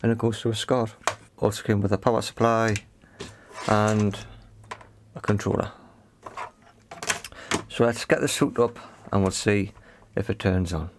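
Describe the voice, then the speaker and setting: A man's voice: lowish voice, fairly even intonation, unhurried, pauses often, background noise. A man giving casual, unscripted commentary on a hands-on repair, thinking aloud in short phrases. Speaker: host of an electronics repair video.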